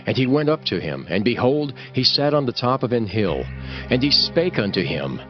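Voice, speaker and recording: A man reading scripted scripture narration for a dramatized audiobook in a solemn, measured way. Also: medium pitch, very animated. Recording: slightly dull audio with music behind. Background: music.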